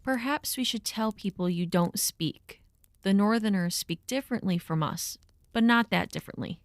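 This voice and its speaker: A woman's voice: mid-range voice, normal speed, no room sound, clean studio sound, close to an everyday voice. A woman playing a mischievous, giggly young village woman in an audio drama, with a playful, conspiratorial manner. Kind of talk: acted audio drama dialogue.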